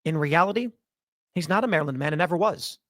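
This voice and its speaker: A man's voice: a little high, animated, quick pace, a bit bright, dry recording, clean studio sound. A man from Chicago delivering an argumentative, emphatic political commentary monologue, often restarting his sentences.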